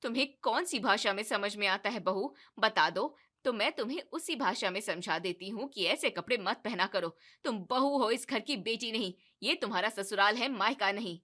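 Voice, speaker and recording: A woman voicing a strict middle-aged mother-in-law in a cartoon story, nagging in a loud, scolding way. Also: mid-range voice, moderate pace, few pauses.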